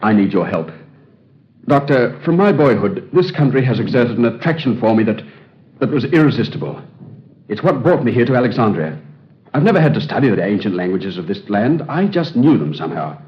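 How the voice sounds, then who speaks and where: An actor playing a troubled, earnest English Egyptologist with formal diction in an old-time radio drama. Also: male voice, medium pitch, sing-song, brisk, lightly acted. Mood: pleading, fervent. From England. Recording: dull, band-limited old radio recording.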